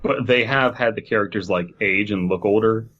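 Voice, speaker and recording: A man's voice: on the low side, normal speed, fairly bright tone, dull audio. A man chatting casually about video games as a podcast co-host, rambling and acting out bits of dialogue.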